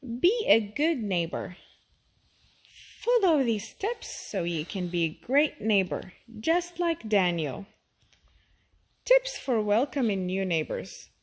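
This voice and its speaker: A woman reading a children's storybook aloud, expressive and addressing the young listener directly. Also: mid-range voice, slow. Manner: expressive, asks the listener questions.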